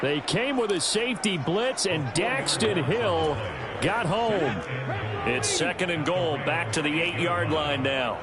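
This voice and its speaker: A man's voice: on the high side, expressive, takes it slowly. A man playing an American play-by-play announcer in a football video game, calling the action in polished broadcast style. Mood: steady, brisk. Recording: game audio with loud music and noise.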